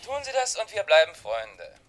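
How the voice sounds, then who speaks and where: An actor playing a pushy, sly newspaper crime reporter in a German radio drama, needling and mockingly familiar. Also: male voice, fairly high pitch, huge pitch swings, moderate pace, crowd noise.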